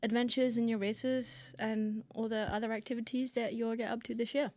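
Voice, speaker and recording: A woman from England co-hosting a cycling podcast, briskly running through the questions. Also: fairly high pitch, little pitch movement, a crowd in the background. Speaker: podcast co-host.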